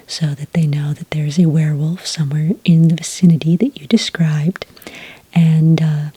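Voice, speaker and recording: A woman speaks in a very breathy near-whisper, low and dark in tone. She is gentle and unhurried, like a polite official taking details in an ASMR roleplay. The sound is close and dry. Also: no echo. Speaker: ASMR roleplayer acting as a werewolf report agent. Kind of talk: soft-spoken ASMR roleplay.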